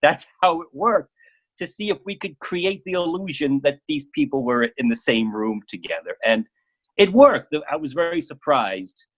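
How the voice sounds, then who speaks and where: A man speaking casually as an interview guest about his work, often halting and repeating words as he goes. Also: on the high side, expressive, fairly bright tone.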